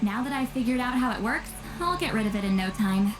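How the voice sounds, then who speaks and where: A woman acts as an aloof, ageless shaman woman from a fantasy game. Her high voice explains things with dry, wistful reserve. It rings in a roomy echo with game music clearly behind it. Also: lively intonation, fairly quick, close to an everyday voice. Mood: dry, sly. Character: aloof, reclusive two-hundred-year-old shaman woman with youthful looks. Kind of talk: scripted video game voice acting.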